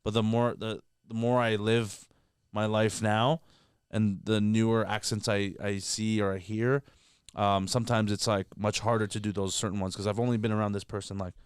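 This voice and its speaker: A man's voice: lowish voice, flat delivery, clear, resonant tone, dry recording, clean studio sound. A man from Canada, a guest comedian, chatting candidly on a podcast and swearing freely as he talks. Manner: rapid, candid, profane, heavy filler words. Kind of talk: casual podcast chat.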